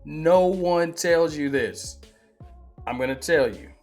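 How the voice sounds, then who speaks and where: A man's voice: fairly high pitch, lively intonation, slow pace, a little mellow, faint music behind. A man in his forties hosting a sports channel, talking in a casual, emphatic way and often repeating himself. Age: 40s. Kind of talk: casual opinion monologue.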